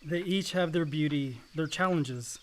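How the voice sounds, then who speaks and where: An actor playing a dutiful, resentful grown son in a radio play, earnest and wounded. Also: male voice, on the high side, unhurried, lightly acted. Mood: dry, subdued.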